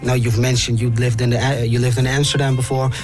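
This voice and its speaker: A man's voice: medium pitch, flat delivery, fast talker. Radio host man, easygoing. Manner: conversational, explains to listeners. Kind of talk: radio interview. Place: radio studio. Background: faint background music.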